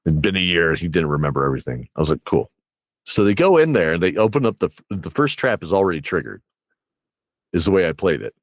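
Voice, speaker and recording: Low-voiced man telling tabletop game stories. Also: big pitch swings, fast, no echo. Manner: rambling, acts out players' reactions in quotes. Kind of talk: casual animated storytelling chat.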